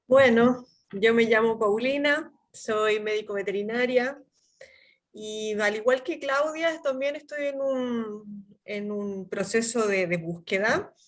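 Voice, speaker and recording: A woman's voice: a little high, slow pace. Thoughtful woman, searching for words. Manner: thoughtful, searching, with many restarts. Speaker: participant introducing herself. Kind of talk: casual group introduction on a video call.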